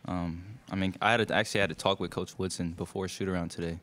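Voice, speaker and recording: A man's voice: low voice, fairly even intonation, fairly bright tone. A young man, a college basketball player answering questions at a postgame press conference into a table mic, loose and full of fillers. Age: young adult. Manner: loose, filler-heavy, speaks in quick bursts. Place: press room in front of a sponsor backdrop.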